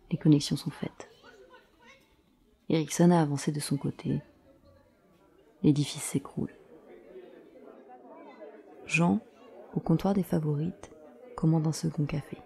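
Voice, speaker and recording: A woman's voice: low voice, creaky, dark tone. A woman narrating a scripted audio drama in terse, present-tense storytelling.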